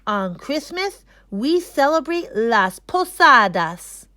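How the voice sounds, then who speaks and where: A woman voicing an excited, proud young schoolboy in a children's storybook, with emphatic, stretched-out delivery. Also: high-pitched, slow pace, dry recording, studio quality, voice pushed up, full character voice. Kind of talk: children's storybook read-aloud.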